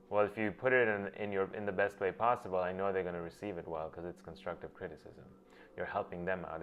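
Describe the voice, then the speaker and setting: A man's voice: sits low, fairly bright tone. A man whose first language is Gujarati, hosting and thinking aloud in a reflective monologue, often restarting his phrases as he works through ideas. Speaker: host. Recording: dull lo-fi with faint music.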